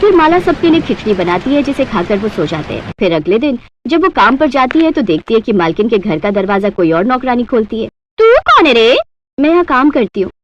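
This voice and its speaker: Woman narrator for kids cartoon story, high voice, scripted read, bit muffled. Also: sing-song, a little fast, hardly any pauses, slight background noise.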